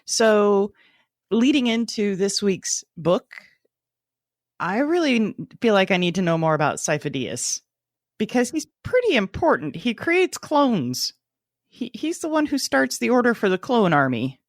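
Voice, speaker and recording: A woman's voice: mid-range voice, sing-song, unhurried, dry recording, studio quality. A woman co-hosting a book club podcast, talking in a conversational style. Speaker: podcast co-host. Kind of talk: casual book club discussion.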